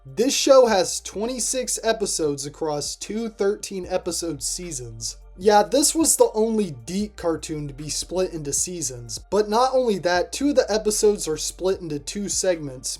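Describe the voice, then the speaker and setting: A video essay reviewer reads scripted review narration in a mellow, relaxed voice. The pitch sits in a middle register, and faint music plays underneath. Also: normal speed, hardly any pauses.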